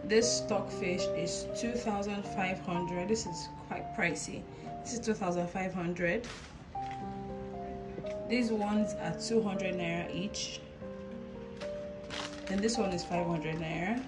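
A Nigerian woman talks casually in her home kitchen, stopping now and then to recall prices. Her voice sits in a middle pitch. Loud background music runs under her words. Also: slow pace, pauses often. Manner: chatty, hesitant, stops to recall prices. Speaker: cooking vlogger. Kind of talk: casual on-camera chat.

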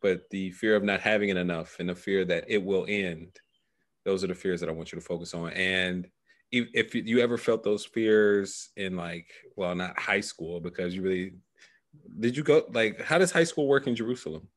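A man with a low voice speaks as a coach. His delivery is measured and instructive, as in a guided meditation, recorded on a headset during a video call. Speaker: coach guiding a client through a meditation process. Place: small indoor room, video call. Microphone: headset microphone.

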